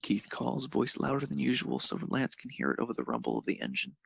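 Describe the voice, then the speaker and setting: Male narrator, creaky husky voice, mid pitch, dry close sound, reading fan fiction. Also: very animated, fairly quick, bright, forceful tone, no room sound.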